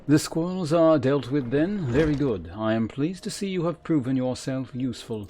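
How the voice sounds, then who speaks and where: A man's voice: mid-range voice, animated, moderate pace, mellow, a little hiss or hum, voice pushed up, natural voice. A man voicing a curt, haughty guild quest giver from a fantasy game, formal and condescending with cool menace. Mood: haughty, ominous. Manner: formal, condescending, archaic phrasing. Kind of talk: voiced fantasy game dialogue.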